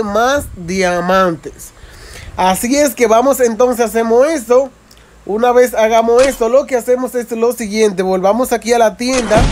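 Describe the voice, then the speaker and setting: A man narrating a casual mobile game tutorial, explaining step by step with frequent repetition and informal asides to viewers. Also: high voice. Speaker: gaming video creator.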